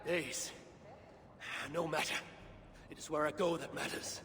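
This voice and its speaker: An actor playing an imperious, vengeful Norse god warrior in a video game, speaking in terse, formal, old-fashioned demands. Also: male voice, on the high side, level tone, a little slow, sharp tone. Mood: grim, intent. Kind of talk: dramatic video game acting. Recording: clearly noisy game cutscene audio.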